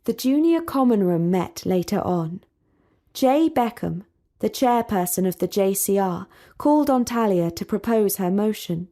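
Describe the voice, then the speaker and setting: A woman narrating a storybook for English learners in a clear, measured, deliberate way. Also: medium pitch, animated, mellow.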